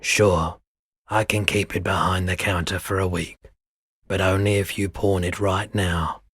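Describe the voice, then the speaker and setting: A husky, deep-voiced, laid-back pawnbroker. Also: male voice, little pitch movement, a bit bright, no echo, clean studio sound, full character voice. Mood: businesslike, relaxed. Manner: unhurried, low-key, deliberate. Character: laid-back, world-weary male pawnshop owner, soft-spoken and wistful. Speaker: video game voice actor. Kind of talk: video game character dialogue.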